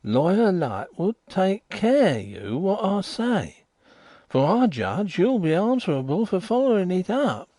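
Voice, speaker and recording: A man puts on a shifty waterside informer who whines and insists, his voice jumping high with indignation. The sound is dry and close. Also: sing-song, a little slow, warm tone, dry recording, exaggerated voice. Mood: insistent. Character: shifty, whining riverside informer, middle-aged man.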